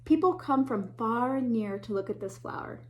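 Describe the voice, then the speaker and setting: A woman teacher reading a picture book aloud to children, pausing to point out the pictures and dropping to a whisper or a gasp at tense moments. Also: a little high. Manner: animated, gasps and whispers for suspense, pauses to point out pictures. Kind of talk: expressive read-aloud storytime.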